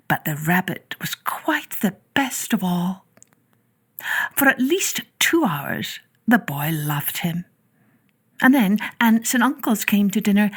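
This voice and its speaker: A woman reading poems and stories aloud for children in an unhurried, dramatic storytelling style, with phrases that trail softly away. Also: mid-range voice, husky. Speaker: children's storytelling podcast host and reader.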